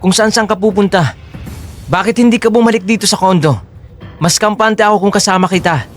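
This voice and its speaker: A man acts out a possessive boyfriend in a Filipino audio drama. His somewhat high male voice feigns sweet concern, coaxing in Tagalog sprinkled with English. Music plays faintly underneath. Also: brisk, close to an everyday voice.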